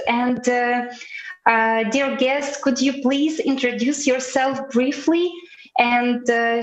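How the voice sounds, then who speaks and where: A woman's voice: on the high side, reverberant, muffled recording. A woman co-hosting a live online broadcast, speaking in a friendly, hesitant way with many fillers. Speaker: co-host.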